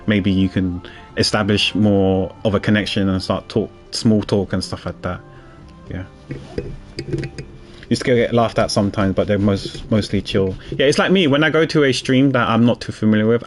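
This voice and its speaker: A man chats casually while streaming a game. His voice sits on the low side, and he rambles and restarts often. Faint game music plays in the background. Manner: rambling, many restarts and fillers. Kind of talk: casual chat, reading out chat.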